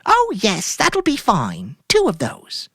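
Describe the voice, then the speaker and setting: A narrator voicing a housewife who speaks in a bright, sing-song way with put-on cheer. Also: mid-range voice, huge pitch swings, slow pace, breathy edge, dry recording, studio quality, pitched above the performer's own voice, heavily acted. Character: Mary Maloney, bright falsely cheerful housewife.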